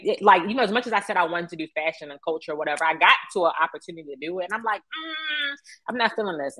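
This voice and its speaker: A woman in her thirties with an American accent and a low-pitched voice. She speaks as an interview guest in a conversational storytelling style. The sound is close and dry. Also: fairly bright tone, no room sound, rough recording. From Baltimore, United States. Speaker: interview guest, media professional.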